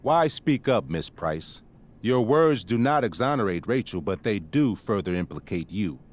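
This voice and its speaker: Deep-voiced, stern male school principal. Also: very animated, a little hiss or hum. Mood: firm, reproving. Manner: measured, formal, officious. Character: stern, formal male high school principal, middle-aged. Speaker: voice actor. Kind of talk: dramatic video game cutscene acting.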